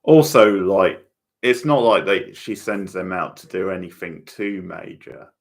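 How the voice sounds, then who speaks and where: A man's voice: low-pitched, a little slow, vocal fry. A man giving casual, opinionated commentary on a TV show as host of a live watchalong, chatting back to viewers.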